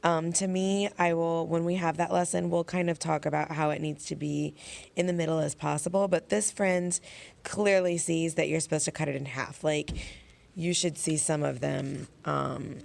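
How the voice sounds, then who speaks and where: Hoarse, gravelly-voiced woman teacher. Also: sits low, steady tone, moderate pace, darkish tone. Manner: chatty, filler-heavy explaining. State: hoarse, losing her voice. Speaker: elementary school teacher. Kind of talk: casual teacher vlog. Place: classroom.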